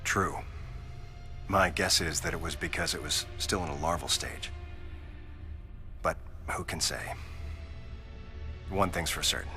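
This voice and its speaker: An actor playing a grave, calm military commander in a sci-fi game, speaking in a measured, formal, authoritative way. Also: male voice, low voice, faint music behind, heavily acted. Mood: grave, analytical. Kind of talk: dramatic video game cutscene dialogue.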